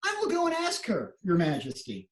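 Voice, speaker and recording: A woman playing a kindly, clever male court jester in a storybook readers theater, with playful, drawn-out phrasing. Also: fairly low pitch, wildly swooping pitch. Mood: gentle, reassuring. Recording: roomy echo, some background noise.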